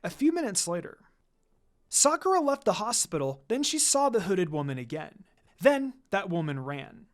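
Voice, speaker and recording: An animated narrator reads a scripted story aloud. The pitch moves around a great deal, giving the prose a lively read-aloud feel. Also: moderate pace. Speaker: fan fiction narrator.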